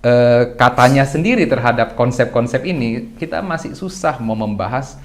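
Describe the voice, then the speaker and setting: A young adult man, a podcast guest, talks through ideas in a loose, reflective way, asking himself rhetorical questions and often clearing his throat. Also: mid-range voice, moderate pace. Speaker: guest speaker. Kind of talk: casual podcast discussion.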